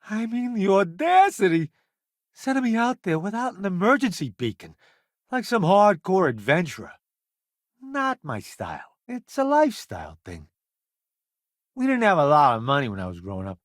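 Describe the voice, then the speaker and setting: Flamboyant foodie ship's cook in a space game, full of himself, wry, slow drawn-out delivery, super dry close recording. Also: male voice, high-pitched, no echo, exaggerated voice. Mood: breezy, wry. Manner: theatrical, wry, self-promoting, drawn-out emphatic delivery. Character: flamboyant, vain celebrity food critic turned spacefaring ship's cook. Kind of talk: video game companion voice acting.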